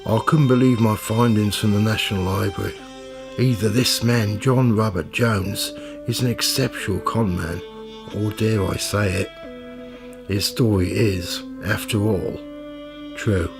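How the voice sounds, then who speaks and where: An actor playing an excited, driven investigator thinking aloud in a radio play monologue. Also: male voice, fairly low pitch, measured pace, music in the background. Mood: intent, astonished.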